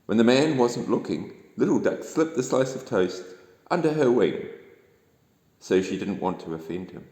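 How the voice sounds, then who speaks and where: A man with a New Zealand accent reads a children's bedtime story aloud in an expressive, dramatic storytelling manner. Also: mid-range voice, moderate pace. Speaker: storybook reader. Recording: echoey room with long reverb.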